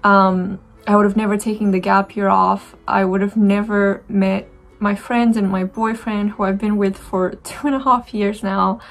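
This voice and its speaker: A woman's voice: mid-range voice, flat delivery, moderate pace. A woman in her twenties from Romania with a Romanian accent, chatting casually and reflectively to camera while doing her makeup, with many fillers and restarts. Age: 20s. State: dry throat. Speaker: beauty vlogger.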